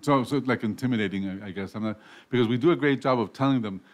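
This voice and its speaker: A man's voice: medium pitch, fast. A man hosting a casual radio interview show, rambling with frequent restarts and self-corrections. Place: radio studio.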